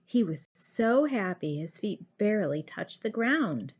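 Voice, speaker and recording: Slow, animated woman reading a storybook. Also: mid-range voice, slightly rough. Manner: deliberate, animated. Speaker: teacher reading aloud. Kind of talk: expressive children's storybook narration.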